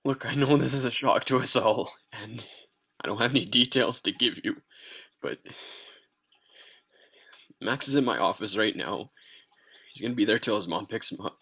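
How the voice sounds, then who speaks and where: A man playing a hesitant, caring fast-food manager in an amateur table read, speaking haltingly and repeating himself. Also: lowish voice, creaky, bright, forceful tone, husky, heavily acted. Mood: subdued.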